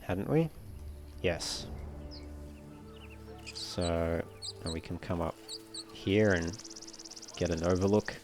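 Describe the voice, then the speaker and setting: A man giving low-key, casual gameplay commentary, musing aloud as he plays. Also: fairly even intonation, takes it slowly, pauses often, music in the background. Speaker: let's play host.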